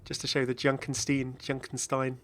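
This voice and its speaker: A man hosting a gaming video with casual, excited reaction commentary in a rambling, exclamatory way. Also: mid-range voice, moderate pace, a little hiss or hum. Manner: rambling, exclamatory, chuckling asides.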